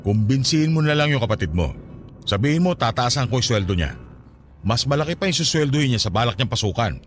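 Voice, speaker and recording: A man's voice: medium pitch, very animated, fast, close to an everyday voice. A Filipino man acting a concerned, questioning, business-minded partner in a radio drama. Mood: practical, concerned. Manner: questioning, solicitous.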